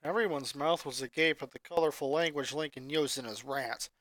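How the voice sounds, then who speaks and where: A woman narrating a fanfiction story aloud in a calm reading manner. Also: deep voice, a little fast, no room sound. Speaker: fanfiction reader.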